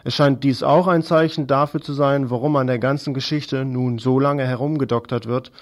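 A man's voice: medium pitch, fairly even intonation, normal speed. A man presenting scripted political news commentary on the radio.

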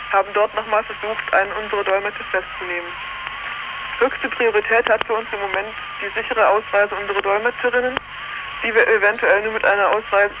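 A woman's voice: medium pitch, background noise. A woman reading out a prepared statement as an activist over a poor long-distance telephone line, stumbling over words here and there. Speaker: activist delegation member.

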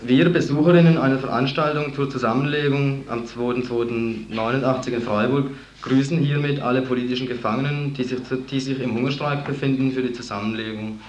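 A man's voice: medium pitch, fairly even intonation, brisk. A man reading out a written statement steadily, with slight stumbles. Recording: roomy dull recording with faint hiss.

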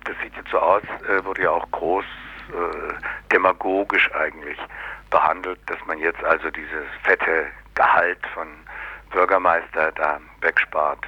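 A man's voice: low-pitched, steady tone, a little slow, pushed and bright, breathy edge, no echo, dull audio. A man explaining his views casually in an interview, sardonic and colloquial, with many little tag questions.

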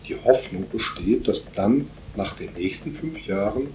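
A man as a guest in a radio interview, speaking haltingly with many fillers and restarts. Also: fairly low pitch, animated, darkish tone, echoey room, a little hiss or hum, rough recording. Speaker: interview guest from a solidarity group.

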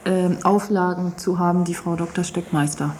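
Mellow-voiced woman in a noisy interview recording. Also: lowish voice, fairly even intonation, normal speed. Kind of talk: spontaneous interview answer.

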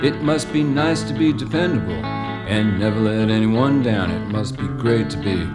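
A woman singing a slow song in English. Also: very deep voice, animated. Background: plucked guitar music.

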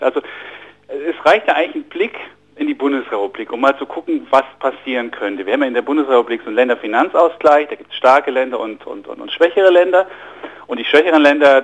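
A man with a German accent speaks as a radio interview guest, explaining finance in long, engaged runs. His voice sits a little high for a man. He hesitates often, repeats words and fills pauses with ums while searching for the next phrase. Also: lo-fi sound. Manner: fluent but halting, many fillers and repeated words. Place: radio studio.

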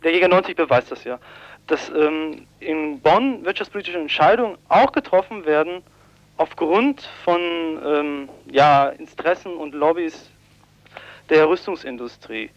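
A man giving spontaneous analysis in an interview as an activist, halting as he searches for the right wording. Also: on the high side, expressive, no room sound. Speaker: peace office activist, interviewee. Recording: dull, dry lo-fi radio tape.

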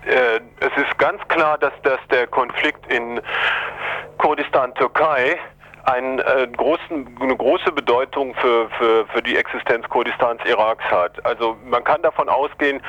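A man's voice: mid-range voice, bright, forceful tone. A man answering as an expert in a political radio interview, speaking hesitantly and searching for words. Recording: dull lo-fi radio recording.

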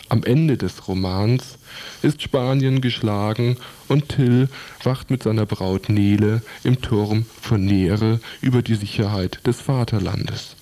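A man's voice: fairly low pitch, dark tone. A man reading a scripted book review for radio.

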